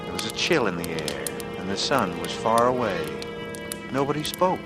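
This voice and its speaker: A man's voice: lowish voice, sing-song, normal speed. An actor playing a secretly guilty man who confides tensely and feigns innocence, in 1940s radio noir style. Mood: tense, brooding. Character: secretly guilty strangler narrating, nervy, falsely casual man from the East. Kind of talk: 1940s radio noir acting, first-person narration. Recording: old radio recording with loud music.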